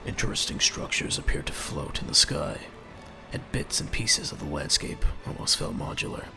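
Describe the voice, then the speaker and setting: Deep, gravelly male dramatic narrator. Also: expressive, moderate pace, music in the background. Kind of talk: scripted dramatic story narration.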